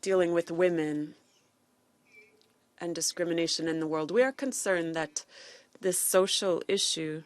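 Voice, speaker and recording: A woman works as a simultaneous interpreter with a notably low voice. She delivers a formal speech phrase by phrase, halting and restarting at times. The sound is dry and close. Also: no room sound.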